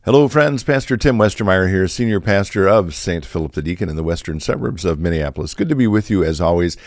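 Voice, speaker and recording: A middle-aged male pastor from the Chicago area, slightly raspy from allergies, talking through a reflective podcast with plenty of fillers and restarts. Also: deep voice, expressive, fast, no room sound. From Chicago area, USA. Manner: conversational, many fillers and restarts.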